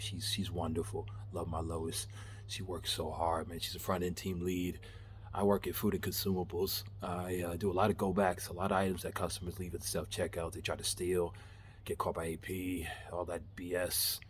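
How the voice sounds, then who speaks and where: A man's voice: deep voice, slight background noise. A man in his thirties giving a candid, rambling motivational monologue in his backyard, laughing at himself and swearing casually. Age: 30s. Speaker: vlogger.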